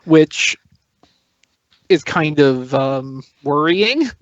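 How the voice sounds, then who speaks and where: Higher-voiced man on dull audio. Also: animated, very slow, no room sound. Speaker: toy news podcast panelist. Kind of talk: casual panel discussion.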